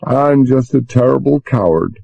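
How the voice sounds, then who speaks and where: A man's voice: medium pitch, measured pace, vocal fry, mellow, no room sound, lo-fi sound, pitched above the performer's own voice. A man voicing a frightened young woman who pleads through tears, breathless and near sobbing. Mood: tearful.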